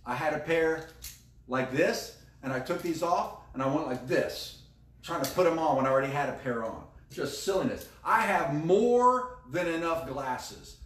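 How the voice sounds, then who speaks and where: A man's voice: fairly high pitch, expressive, moderate pace, fairly bright tone. A middle-aged American man preaching a conversational sermon as a pastor, emphatic and repeating key phrases. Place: indoor room beside a screen. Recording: roomy echo, distant microphone.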